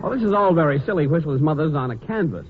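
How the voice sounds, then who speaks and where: A man's voice: a little high, expressive, fast talker. An older man doing silly, quipping 1940s radio comedy as a quiz panelist. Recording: tinny, hissy 1940s radio, faint audience.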